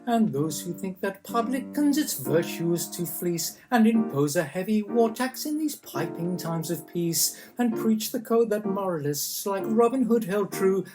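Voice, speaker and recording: A woman performs comic light opera patter. Her voice sits at a medium pitch, and an instrumental accompaniment is clearly heard behind her. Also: low-quality recording. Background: musical accompaniment.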